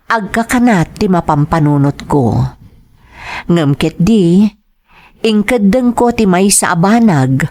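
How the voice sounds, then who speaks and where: A woman's voice: fairly low pitch, a little mellow. A woman acting an anguished, devoted daughter and girlfriend in an audio drama, speaking emotionally and pleadingly with an Ilocano accent. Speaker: lead actor and first-person narrator.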